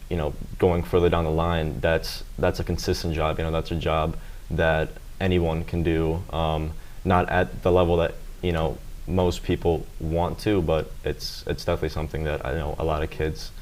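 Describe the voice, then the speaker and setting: Deep-voiced teenage boy interviewer. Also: little pitch movement, normal speed, darkish tone. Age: teens. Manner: rambling, many fillers and "you know"s. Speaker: student interviewer. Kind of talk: casual interview questions.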